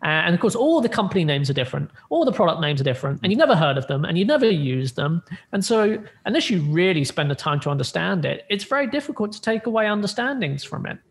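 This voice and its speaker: This is a man in his thirties answering interview questions over a video call with earbuds. His voice sits a bit high for a man, and he works through each point in turn with plenty of ums and restarts. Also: big pitch swings, fast, few pauses. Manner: explanatory, lists points with many fillers and restarts. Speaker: tech analyst guest. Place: home room with plain light blue wall.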